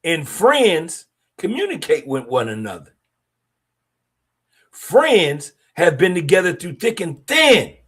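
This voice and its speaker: Animated, opinionated middle-aged man. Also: a little high, slow pace, husky. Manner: streetwise, blunt and opinionated with slang. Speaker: podcast host. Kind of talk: casual monologue podcast.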